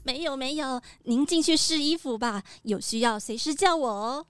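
Woman playing a very high-pitched shop clerk. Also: moderate pace, noisy background, natural voice. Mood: helpful. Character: eager, obliging animal shop clerk. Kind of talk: children's audio drama acting.

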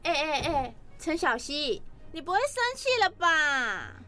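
A woman's voice: high-pitched, very animated, unhurried, bright, forceful tone, distant mic, faint music behind, exaggerated voice. A woman playing a teasing, mocking schoolgirl classmate in an audio drama.

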